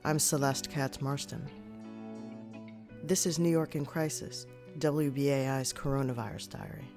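A woman radio host reads her scripted station announcement in a crisp, measured way. Plucked guitar music plays clearly behind her voice. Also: very deep voice, fairly even intonation.

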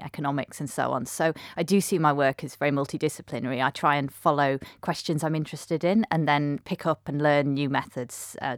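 A woman's voice: low voice, dry recording, clean studio sound. A woman answering as an academic guest, conversational and thoughtfully explanatory. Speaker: interview guest, academic researcher.

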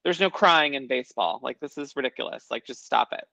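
A man's voice: medium pitch, animated, fairly quick, gravelly, sharp tone, no echo, dull audio. A man co-hosting a casual recap chat, blunt and swearing freely as he tells stories. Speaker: podcast co-host.

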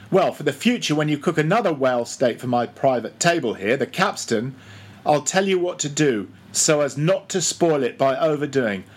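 Man playing a bossy, high-pitched ship's mate. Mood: instructing. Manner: commanding, interrogating, mock-solemn. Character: bossy, mocking male ship's mate, badgering and pompous.

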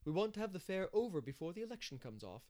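A man's voice: high voice, sing-song, fast talker, darkish tone, voice pushed up. A man voicing a bustling, opinionated village matron in a storybook, chatty and sure of herself. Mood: opinionated, confident.

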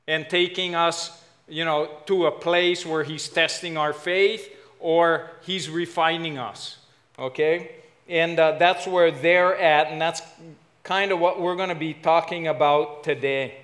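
A man from Hawaii with a Hawaiian accent, filling in as guest preacher and giving a casual, halting sermon. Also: fairly high pitch, a bit bright. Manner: casual, self-deprecating, halting with fillers.

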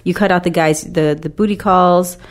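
A woman's voice: on the low side, steady tone, moderate pace. A woman co-hosting a casual advice chat, getting over a cold and sniffling, rambling with many fillers. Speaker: podcast co-host.

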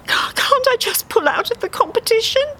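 A woman's voice: very high-pitched, animated, moderate pace, pushed and bright, voice pushed up, full character voice. A woman voicing an anxious, hapless male duck who is learning to dance, fretful and plaintive, in a storybook tale. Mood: discouraged. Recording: live theatre recording with background noise.

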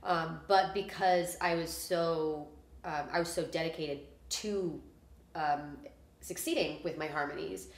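Low-voiced female singer, casual and animated interview guest, echoey room. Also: unhurried. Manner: chatty, animated, filler-heavy storytelling. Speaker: musician guest.

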